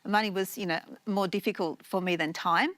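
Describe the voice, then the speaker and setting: A woman's voice: medium pitch, fairly even intonation, brisk, fairly bright tone, dry recording. A middle-aged woman chatting about her own views as a panelist. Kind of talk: casual personal chat.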